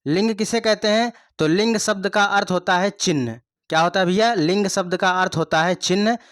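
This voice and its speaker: A man with a fairly high voice leads an exam coaching lesson. He goes over each point again and again and quizzes his listeners with rhetorical questions. The sound is dry and close, with no room echo. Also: moderate pace, fairly bright tone. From India. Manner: repeats points, asks the class rhetorical questions. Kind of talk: online Hindi grammar lesson.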